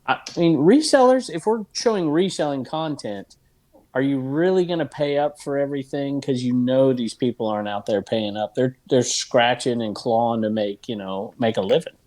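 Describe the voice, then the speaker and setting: Animated man chatting on a podcast. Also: medium pitch. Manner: animated, laughing, quick back-and-forth. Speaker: podcast co-host. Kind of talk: casual chat.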